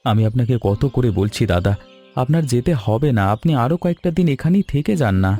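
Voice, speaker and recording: A man voicing a caring, eager weaver and devoted host in an animated story, with an earnest, pleading manner. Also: medium pitch, fairly quick, faint music behind, lightly acted. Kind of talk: Bengali animated story dialogue.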